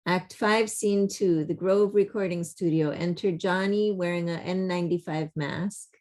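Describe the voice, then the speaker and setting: A woman with a somewhat low voice narrates a play script. She reads out stage directions and scene headings over a video call. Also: fairly even intonation, moderate pace, a little mellow.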